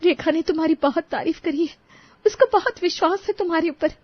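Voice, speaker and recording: Anxious mother voiced by a woman, extremely high, thin-pitched, imploring and insistent, cartoon moral tale, slightly dull audio. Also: fairly quick. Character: anxious, pleading mother desperate for household help.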